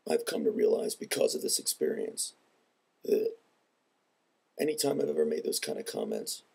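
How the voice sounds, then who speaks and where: Deep gravelly man with a head cold. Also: wildly swooping pitch, warm tone. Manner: earnest, measured and reflective. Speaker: video blogger. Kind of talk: personal vlog monologue.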